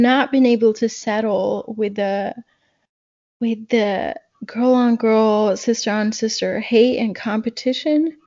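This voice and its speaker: A woman from Pakistan, a guest in a conversational interview, speaking slowly and reflectively with many fillers and restarts. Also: fairly high pitch, a little mellow, lo-fi sound.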